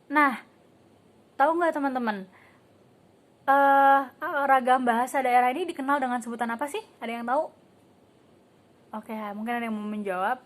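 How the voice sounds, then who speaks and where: A woman's voice: high voice, normal speed, long pauses. A young woman student teacher giving a casual classroom lesson, quizzing the class and calling on students by name, with casual filler words. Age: young adult. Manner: asks the class questions and calls on students by name.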